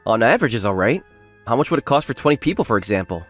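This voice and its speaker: A man playing an earnest young factory worker in a manga dub, polite but indignant when wronged, with an expressive, emphatic delivery. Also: mid-range voice, rapid-fire, soft background music. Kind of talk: anime-style manga dub acting with first-person narration.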